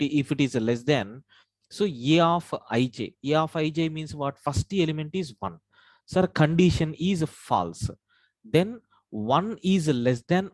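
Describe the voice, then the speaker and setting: A man's voice: on the high side, a little mellow. A man teaching an online programming class. He walks through each step and keeps calling his listeners "sir". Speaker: programming instructor. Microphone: own mic at a computer, online class.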